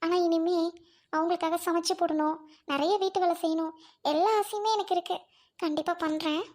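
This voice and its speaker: A woman voicing a humble young bride who speaks softly and politely to her new family. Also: very high voice, fairly even intonation, fairly quick. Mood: soft, pleading. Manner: soft, polite, keeps addressing mother-in-law.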